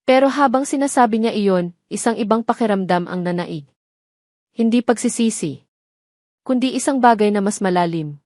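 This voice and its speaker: A woman's voice: medium pitch, animated, moderate pace, a little mellow, dry recording, clean studio sound. A woman reading a scripted dramatic story as its narrator.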